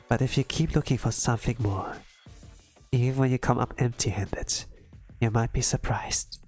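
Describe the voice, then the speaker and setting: A streamer voicing a thoughtful, curious young female researcher who muses deliberately and is quietly determined. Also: mid-range voice, close to an everyday voice. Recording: background music under the voice.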